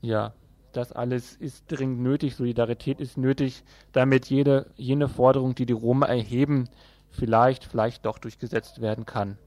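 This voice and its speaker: A man's voice: mid-range voice, fairly even intonation, moderate pace, a little mellow, a little hiss or hum. A man presenting on radio, giving announcements in an informal way from a studio.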